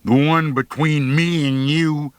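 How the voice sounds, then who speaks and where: A man acts out a hulking, brutish young bully in a comic audiobook. He is menacing and confrontational, taunting with a raised, emphatic pitch. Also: fairly even intonation, slow, clean tone, no room sound.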